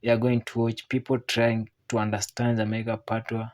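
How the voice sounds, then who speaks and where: A narrator delivers a scripted explainer at a medium pitch. The reading is measured and informative in style, set out in clear statements. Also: monotone.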